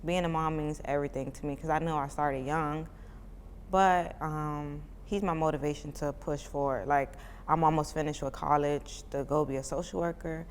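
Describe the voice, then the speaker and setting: A woman's voice: low voice, normal speed, in a large hall, slight background noise. A woman in her twenties from Miami, a parent giving casual interview answers in a chatty way, full of "like" fillers. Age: 20s. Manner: chatty, many "like" fillers, relaxed informal speech.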